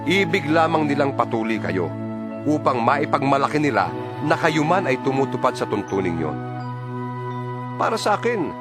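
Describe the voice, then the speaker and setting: A man's voice: medium pitch, lightly acted. A man with a Filipino accent playing an earnest apostle exhorting believers in a Bible audio drama, solemn and emphatic. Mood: fervent. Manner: solemn, emphatic, preacherly delivery. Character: earnest male apostle exhorting his fellow believers by letter. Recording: music bed behind the voice.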